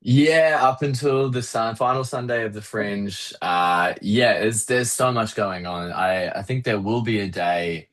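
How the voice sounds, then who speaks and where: Low-voiced Australian man, wry comedian. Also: measured pace, few pauses. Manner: wry, self-deprecating, animated storyteller. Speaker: interview guest, comedian. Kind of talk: casual interview chat.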